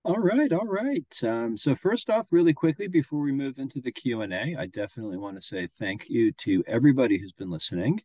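A man in his thirties hosting an online reading Q and A, talking casually with lots of fillers and pauses, on a video call from a home office. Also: mid-range voice, lively intonation, mellow, no echo. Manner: casual, filler-heavy, halting. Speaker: host of a reading event. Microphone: video call webcam.

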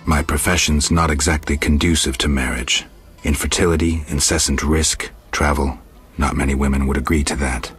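An actor playing a stoic monster hunter in a fantasy game, with a dry, terse, deadpan delivery. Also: male voice, very deep voice, normal speed, husky, faint music behind, full character voice.

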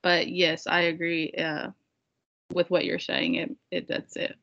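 Young native Spanish-speaking woman, lowish, hesitant. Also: little pitch movement, unhurried, gravelly, a bit bright. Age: young adult. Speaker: seminar guest. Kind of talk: casual conversation. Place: indoor room on a video call. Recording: dull, lo-fi video call audio.

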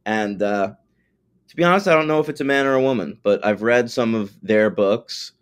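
A man's voice: mid-range voice, moderate pace, smooth. A man in his forties co-hosting a casual film podcast, wry and rambling, with casual swearing. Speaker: podcast co-host.

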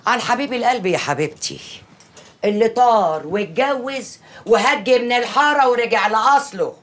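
A woman's voice: a little high, expressive, normal speed, natural voice. An actress playing a worried, scolding middle-aged mother who nags reproachfully in an Egyptian Arabic accent. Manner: nagging, reproachful.

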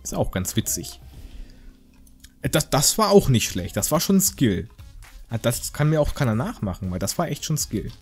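A man's voice: mid-range voice, very animated, normal speed, husky, faint music behind. A man giving casual, joking game commentary in a rambling, slangy, mock-indignant way. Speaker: gaming video host.

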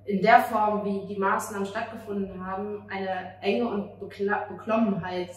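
A woman's voice: medium pitch, steady tone, normal speed. A woman in her thirties from Germany gives earnest interview answers into a handheld mic held across the table. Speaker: playwright and actress being interviewed. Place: outdoor café table on a street at night. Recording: roomy, distant mic, street-side ambient noise.

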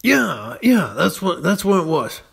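A man's voice: high voice, sing-song, a little slow, creaky, fairly bright tone, slightly rough. A man chatting casually and comically as a podcast storyteller, laid-back and hesitant. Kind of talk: casual comedic chat.

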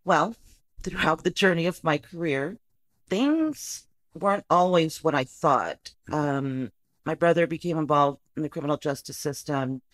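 A woman from the Rio Grande Valley in Texas, a social worker guest telling her story with a lively manner and easy laughs. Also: low voice, a little slow, no room sound. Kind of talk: podcast interview.

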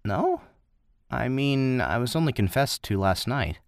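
A man playing a reserved, matter-of-fact high-school boy in a manga dub, with a laconic, understated manner. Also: animated, unhurried, no echo, studio quality.

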